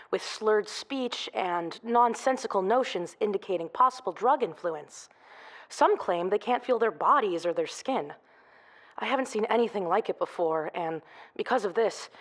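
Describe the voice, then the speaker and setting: A professional forensic expert played by a woman with a middle-pitched voice. She answers carefully and precisely, in the formal manner of a scripted podcast interview guest. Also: lightly acted. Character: cautious, professional female forensic audio examiner. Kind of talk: scripted audio drama interview.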